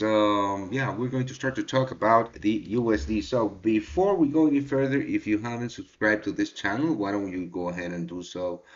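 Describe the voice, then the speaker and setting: A man hosting a trading livestream in a relaxed, halting way, with many fillers as he answers the chat. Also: lowish voice. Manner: reads and answers chat directly. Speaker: trading show host. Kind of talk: casual livestream market talk. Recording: dull lo-fi livestream audio.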